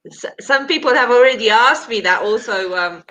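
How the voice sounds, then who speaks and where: Bright-voiced woman with an English accent. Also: on the high side, animated, normal speed, rough recording. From Italy. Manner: chatty, self-correcting, laughing between thoughts. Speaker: language teacher. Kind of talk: casual conversation on a live call.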